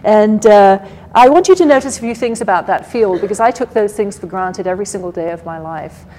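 A soft-spoken woman with an English accent giving an academic lecture at a podium, measured and full of fillers. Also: mid-range voice, low-quality recording. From England. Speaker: guest lecturer. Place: lecture hall.

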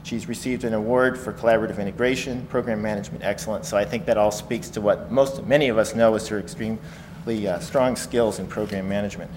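A man introducing a guest speaker in a lecture hall, halting and full of fillers. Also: lowish voice, fairly even intonation. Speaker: event host introducing a speaker. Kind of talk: formal introduction. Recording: reverberant hall, faint noise.

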